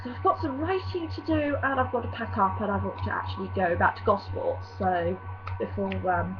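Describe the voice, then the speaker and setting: A woman's voice: mid-range voice, a little fast. A young woman from vlogging casually, rambling and apologetic, her nose slightly stuffy from a cold. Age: young adult. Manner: rambling and self-apologetic, with many fillers. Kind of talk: casual chatty vlog. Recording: dull lo-fi recording with faint hum.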